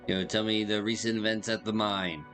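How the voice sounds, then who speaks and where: A man's voice: lowish voice, flat delivery, normal speed. A man doing casual game playthrough commentary, hamming up dialogue in a joking, slangy way over music. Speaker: gaming streamer.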